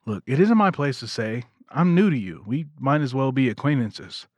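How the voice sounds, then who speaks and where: An actor plays a considerate brother in an audio drama. His mid-pitched voice moves up and down a lot, with an earnest, tactful way of talking. The sound is close and dry. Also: very animated, moderate pace, a little mellow, dry recording. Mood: caring, tactful. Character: kind, considerate brother, newly acquainted with his sister.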